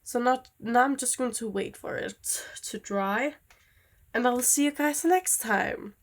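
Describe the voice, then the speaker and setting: Young woman, slow unhurried pace, rambling casual vlog chat, easily sidetracked. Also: a little high. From Denmark. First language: Danish. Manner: rambling, easily distracted, with many fillers. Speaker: vlogger. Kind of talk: casual chat while doing nails.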